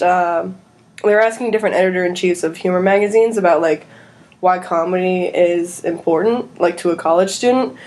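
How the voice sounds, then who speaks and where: A woman's voice: lowish voice, a little mellow. A young woman in casual podcast chat as a student co-host, rambling and peppering her sentences with "like". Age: young adult. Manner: rambling, frequent "like".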